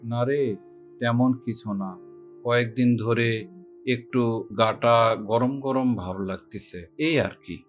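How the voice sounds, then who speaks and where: A man voicing a kindly, frail old village grandfather who talks slowly and fondly, heard from a distance over faint music. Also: medium pitch, fairly even intonation, lightly acted. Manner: slow, fond, scolding gently.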